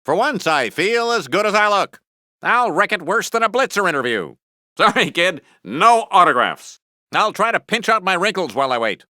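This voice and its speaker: An actor playing a smug, vain middle-aged American TV news anchor in a cartoon game, with a pompous mock-serious broadcast delivery. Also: male voice, sits high, very animated, normal speed, sharp tone, no echo, studio quality, exaggerated voice. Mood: vain, breezy. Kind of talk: cartoon game newscast commentary.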